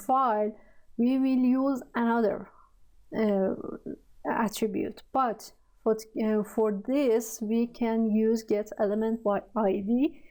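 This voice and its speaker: A woman with a Persian accent, a native Persian speaker, teaching a coding lesson in halting, hesitant speech full of fillers. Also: a little high, mellow. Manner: halting, searching for words, many fillers. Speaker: programming tutor. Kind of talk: screen-recorded coding tutorial.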